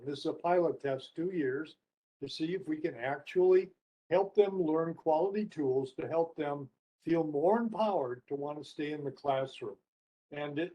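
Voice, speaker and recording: Older man, slightly raised pitch, dark warm tone, earnest explanatory webinar discussion. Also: poor audio. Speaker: webinar panelist and co-author.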